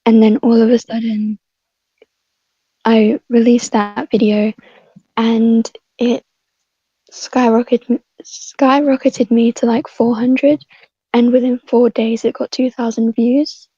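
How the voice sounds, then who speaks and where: High, mellow woman on dull mic. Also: level tone, slow, slightly rough, dry recording. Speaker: voice actor guest. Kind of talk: casual chat.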